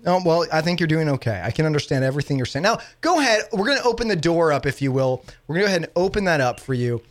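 Fast-talking male radio host. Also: a little high, sing-song. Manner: joking, quick, leads the talk. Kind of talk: casual radio banter. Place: radio studio.

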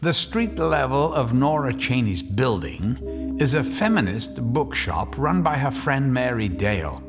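An actor playing the narrator of a horror mystery audio drama, in scripted storytelling style. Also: male voice, medium pitch, big pitch swings, measured pace. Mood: measured storytelling. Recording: music under the voice. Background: music.

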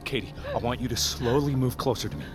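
A man's voice: mid-range voice, fast talker, music in the background, close to an everyday voice. A man with an American accent playing a frightened, commanding group leader in an audio drama, speaking in clipped orders. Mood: tense, urgent. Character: urgent, frightened man leading a fleeing group.

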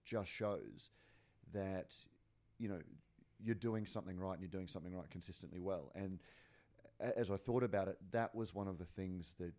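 Male podcast host, low and throaty, casual, stop-start delivery. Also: mellow. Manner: halting, repeats words and fills with "uh". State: hoarse. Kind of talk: casual chat.

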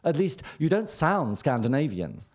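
Man reading as a polite, diffident young gentleman. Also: a little high, sing-song, normal speed. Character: polite, self-conscious young English gentleman emigrant.

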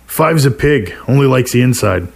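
A man's voice: medium pitch, expressive, normal speed, vocal fry, voice pushed up. Man playing a cocky, breezy gambling buddy. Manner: breezy, coaxing. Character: cocky, easygoing male gambling buddy, a vampire.

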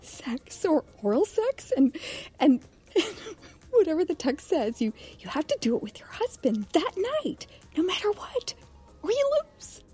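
A woman playing an excitable, giggly married girlfriend in an audio drama, with a gossipy, animated delivery. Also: high-pitched, measured pace. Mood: giggly, confiding. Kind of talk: naturalistic audio drama dialogue. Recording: music playing behind the voice.

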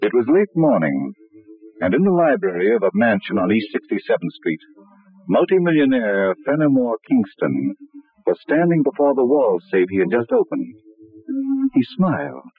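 An actor playing a suave, sinister host who narrates murder mysteries in a deliberate, theatrical way for old-time radio. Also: male voice, mid-range voice, very animated, breathy edge. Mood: suspenseful. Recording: narrow, lo-fi vintage radio recording.

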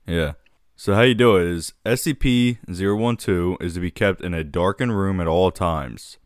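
A man's voice: deep voice, moderate pace, dry recording, clean studio sound. A man co-hosting a podcast in a casual, laid-back way, often calling his co-host dude.

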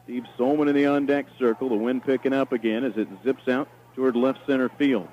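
A man's voice: medium pitch, fairly even intonation, a little fast. An American man calling a baseball game live as play-by-play announcer. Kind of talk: live sports commentary. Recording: dull, noisy archive game broadcast. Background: stadium ambience and noise.

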